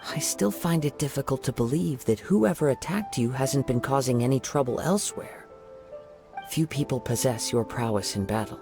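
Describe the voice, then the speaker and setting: An actor playing a calm, courteous young samurai in a game, speaking in a formal, soft-spoken way. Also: male voice, medium pitch, normal speed, gravelly, natural voice. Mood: composed. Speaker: wandering samurai. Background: game music.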